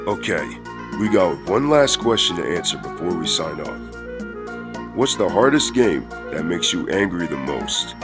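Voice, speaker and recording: A big, shameless cartoon ape played by a man talks in a low voice that moves up and down a lot. He is blunt and boastful, with a short temper and a goofy streak, over music. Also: very animated, unhurried, full character voice. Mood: casual. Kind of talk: scripted comedic cartoon dialogue.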